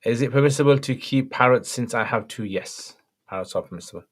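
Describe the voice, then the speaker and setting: A man with a slightly croaky voice, an Islamic scholar reading out and answering viewer questions on a livestream in brief, matter-of-fact replies. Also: mid-range voice, brisk.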